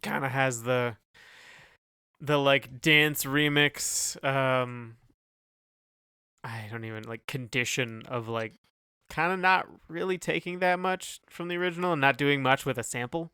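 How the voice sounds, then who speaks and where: A man's voice: medium pitch, unhurried, bright, forceful tone, smooth, dry recording, clean studio sound. A man co-hosting a casual music review podcast, speaking in a hesitant, loosely phrased way as he gives opinions. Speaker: podcast co-host.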